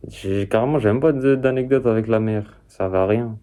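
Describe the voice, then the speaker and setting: A man's voice: fairly low pitch, fast talker, warm tone. A young man giving casual, rambling reaction commentary in slangy, repetitive phrases. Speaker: reaction video host.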